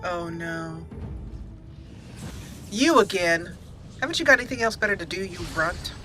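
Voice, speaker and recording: A woman giving casual, excitable commentary while playing a video game, talking to the characters as she goes. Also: on the low side, measured pace, music in the background. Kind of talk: casual let's play commentary.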